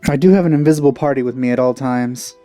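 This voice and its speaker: Male let's player, relaxed dark tone, casual wry remarks, mid pitch. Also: fast talker. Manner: dry, wry asides. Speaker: let's play gamer. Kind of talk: casual gameplay commentary. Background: game music.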